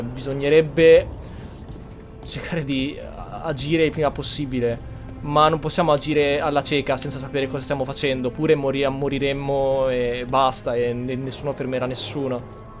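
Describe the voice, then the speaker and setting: With game music in the background, an Italian man gives casual let's play commentary. His middle-pitched voice is reflective, as he thinks aloud in roleplay. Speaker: gamer, channel host.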